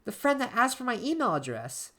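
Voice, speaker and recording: A woman playing an anxious, isolated diarist in a horror story, reading in a halting, emotive way with music behind her. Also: a little high, very animated, voice pushed up. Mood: panicked. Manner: halting, emotive, with stammered repeats. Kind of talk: dramatic first-person horror story narration. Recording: background music under voice.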